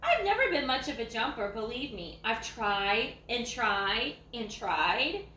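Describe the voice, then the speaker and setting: A woman voicing a lazy, self-assured old ranch dog in a folksy, slow storybook read-aloud. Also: on the high side, very animated, sharp tone, exaggerated voice. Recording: echoey room, faint background noise.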